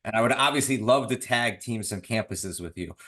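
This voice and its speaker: Male host, earnest, deferential, middle-pitched voice, conversational. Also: brisk, a bit bright. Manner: earnest, deferential to his guest. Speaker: podcast host. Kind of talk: conversational interview.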